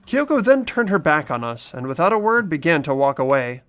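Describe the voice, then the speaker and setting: Anxious teenage boy hero for a story game, played by a man: highish, lively, earnest. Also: fairly quick, soft background music. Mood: subdued narration. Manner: questioning, earnest. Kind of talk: fan-voiced game narration and dialogue.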